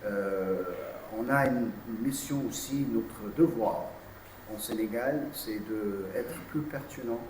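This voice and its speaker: A man's voice: on the low side, darkish tone. English-speaking guy fumbling through press answers, lots of uhs, noisy room. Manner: halting, many hesitations and fillers. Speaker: insurance company executive. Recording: distant mic in an echoey room with noise.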